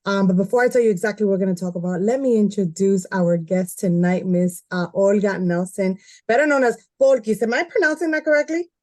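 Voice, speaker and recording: A woman's voice: mid-range voice, fairly quick, hardly any pauses, darkish tone. A woman hosting a podcast, introducing her guest in a chatty, giggly way with plenty of filler words. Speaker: podcast host. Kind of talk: casual podcast chat.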